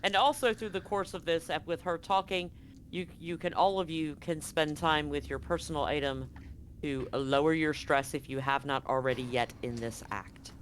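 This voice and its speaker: A woman's voice: low-pitched, steady tone, normal speed. A woman game master improvising narration for a tabletop game, casually swearing, on a live stream with faint noise. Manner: casually profane.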